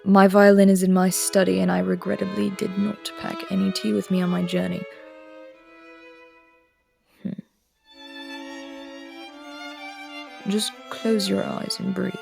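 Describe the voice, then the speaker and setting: A woman voicing an aloof, aristocratic immortal prince in an audio roleplay drama, speaking in a cool, commanding tone with soft murmured asides. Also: medium pitch, little pitch movement, moderate pace, gravelly, pauses often, music in the background. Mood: soothing. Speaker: voice actor.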